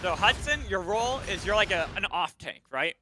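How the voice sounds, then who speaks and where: Give the voice of a male esports caster with a high pitch. He calls the action live in an excitable play-by-play manner. Game noise is clearly audible behind him. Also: normal speed. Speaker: esports shoutcaster. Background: video game sounds.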